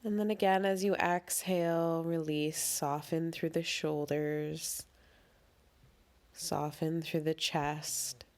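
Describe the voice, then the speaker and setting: Female meditation guide, unhurried and soothing, slightly low voice, calmly instructing through breathwork.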